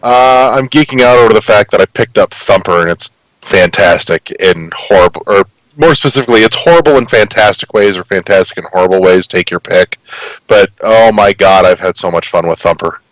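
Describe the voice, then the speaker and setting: A man's voice: lowish voice, brisk, no echo, rough recording. Man in casual, colorful game chat. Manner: profane, hyperbolic description. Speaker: podcast guest and game reviewer. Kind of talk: casual chat about video games.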